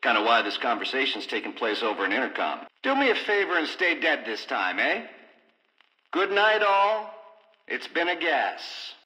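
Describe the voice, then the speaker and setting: A cocky gangster villain on an intercom, sharp bright voice, big long echo, mocking game dialogue. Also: male voice, medium pitch, big pitch swings, moderate pace. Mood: gloating, menacing. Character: slick menacing casino gangster, gloating double-crosser. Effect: intercom speaker. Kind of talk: video game dialogue in 1950s hipster slang.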